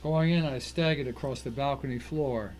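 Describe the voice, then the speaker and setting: A man's voice: medium pitch, moderate pace, darkish tone, low-quality recording. A man reading a scripted first-person thriller story as its narrator, in a dramatic, suspenseful way.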